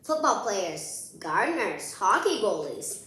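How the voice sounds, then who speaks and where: A woman hosts a read-aloud for children in a very reverberant room. She takes her time with the words, and her pitch moves around a lot. Her delivery is expressive and playful, as if talking straight to young listeners. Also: takes it slowly. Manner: animated, playful, addressing child listeners directly. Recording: very echoey room.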